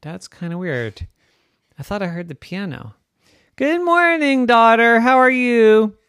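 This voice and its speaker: A storybook mother, acted out by the storyteller, forever puzzled and questioning. Her voice is lifted high, with exaggerated, animated surprise. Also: a little slow, clean tone, dry recording, clean studio sound. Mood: puzzled, cheery.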